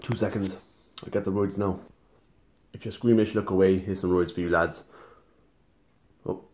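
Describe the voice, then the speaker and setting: A man's voice: deep voice, fairly even intonation, moderate pace, a little mellow, roomy sound, slight background noise. Cheeky young lad vlogging from his kitchen. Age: young adult. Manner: cheeky, joking slang banter. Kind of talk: casual vlog to camera. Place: home kitchen indoors. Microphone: phone camera held at arm's length.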